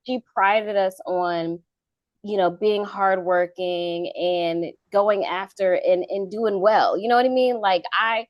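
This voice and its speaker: A woman in her thirties from North Carolina, a guest telling her career story in a relaxed interview with many fillers. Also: medium pitch, slow pace, dry recording, lo-fi sound. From Charlotte, North Carolina. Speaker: guest, government contracting consultant. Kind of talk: casual interview talk.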